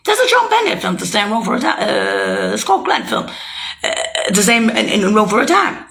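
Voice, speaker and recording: A woman's voice: medium pitch, very animated, moderate pace, gravelly, fairly bright tone. A woman speaking a rambling, heated monologue, swearing and accusing as she jumps from thought to thought. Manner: loose, jumping from thought to thought.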